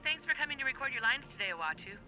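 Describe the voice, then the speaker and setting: A woman's voice: sits high, lively intonation, racing, over loud music. A woman playing a patient but harried voice session director in a video game, polite and coaxing. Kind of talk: video game character acting.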